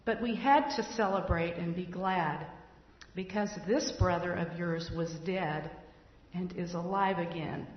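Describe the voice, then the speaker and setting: A woman reading a scripture passage aloud in church, slow and measured. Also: fairly low pitch. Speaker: scripture reader. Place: church sanctuary. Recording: echoey hall, dull lo-fi sound.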